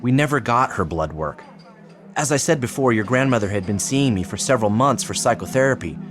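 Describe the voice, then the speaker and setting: An actor playing an earnest, persuasive psychiatrist in an audio drama, explaining in a measured, solemn way. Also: male voice, medium pitch, expressive, soft background music, lightly acted. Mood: urgent, pleading. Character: earnest, persuasive male psychiatrist pressing his case. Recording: clearly noisy background ambience.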